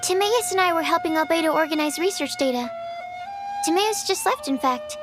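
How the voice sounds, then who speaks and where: A woman's voice: very high-pitched, animated, brisk, music in the background. A woman voicing a shy, earnest young female alchemist in a video game, polite and hesitant, with bookish phrasing. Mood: earnest, slightly wistful.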